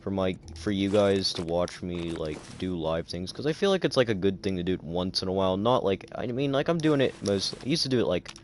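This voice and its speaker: Tired deep-voiced male streamer. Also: animated, brisk, few pauses, a little hiss or hum. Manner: rambling, filler-heavy. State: tired, dry throat. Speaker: livestreamer. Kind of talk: casual livestream chat.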